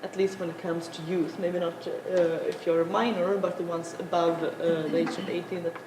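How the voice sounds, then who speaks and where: A young woman, an English speaker, giving a halting conference talk with many filler sounds. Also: on the low side, level tone. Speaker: panel speaker. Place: large conference room. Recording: echoey conference room, noisy.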